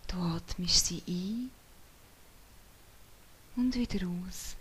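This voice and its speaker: Meditation guide, woman, soft whisper, unhurried and soothing, faint hiss. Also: on the low side. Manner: slow, soothing delivery.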